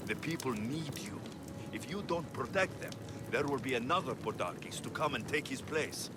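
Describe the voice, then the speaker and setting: An actor playing a young Greek mercenary hero in a game cutscene, steady and resolute. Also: male voice, medium pitch, normal speed, very noisy, close to an everyday voice. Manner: steady, rising to rousing shouts. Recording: game cutscene with music behind.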